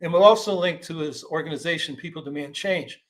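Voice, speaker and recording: A man's voice: a little high, a little fast. A man hosting a talk show, reading a prepared guest introduction with occasional fillers. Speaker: host.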